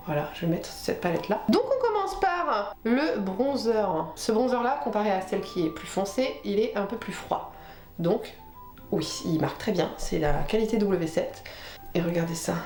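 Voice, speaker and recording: Female beauty vlogger, informal tutorial chat, medium pitch, stuffed up with a cold, echoey room sound. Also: darkish tone. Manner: chatty, informal, talking while applying makeup. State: sniffly with a head cold. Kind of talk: casual makeup tutorial chat. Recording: roomy echo with music behind.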